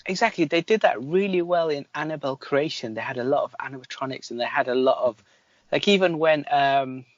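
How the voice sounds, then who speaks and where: A man's voice: a little high, brisk, no echo, muffled recording. A man reviewing films in casual chat as a podcast host, with an opinionated, critical manner.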